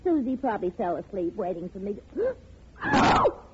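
A woman's voice: medium pitch, huge pitch swings, moderate pace. An actress playing a slangy, streetwise young female roommate in a 1950 radio drama. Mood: horrified. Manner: slangy, joking, sassy. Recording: dull, noisy old radio recording.

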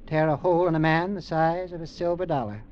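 An actor playing a cold, domineering, scheming uncle with a curt, stern manner in mid-century radio drama style. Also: male voice, a little high, quick pace, a little hiss or hum, lightly acted. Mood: stern, pressing. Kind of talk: 1940s-50s radio drama acting. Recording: muffled old radio recording.

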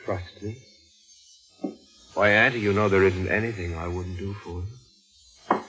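A lowish-pitched young nephew, outwardly dutiful but coolly scheming. The delivery is measured, dramatic old-time radio acting, with prominent music behind. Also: male voice, fairly even intonation, pushed and bright. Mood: concerned.

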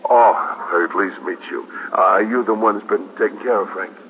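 An American actor playing a stubborn, defensive middle-aged father in a 1950s radio drama, halting and repeating himself. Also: fairly low pitch, lightly acted. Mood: wary, demanding. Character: stubborn, defensive father of a teenage boy, shaken. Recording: muffled, hissy 1950s radio recording.

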